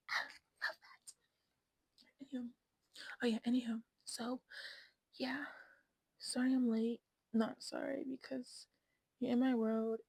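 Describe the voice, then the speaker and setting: Young woman vlogger, 25, sassy, husky whisper-prone delivery, slightly high voice. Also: no echo, low-quality recording. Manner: sassy, drifts between whispers and loud asides. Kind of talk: casual chat to camera. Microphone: new desk mic.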